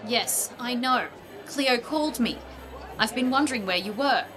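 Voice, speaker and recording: Actress for strict career mother, radio play; brisk, firm, slightly high voice over music. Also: lively intonation, crowd noise. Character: practical, strict mother and store executive, no fantasy. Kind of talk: old-time radio play acting.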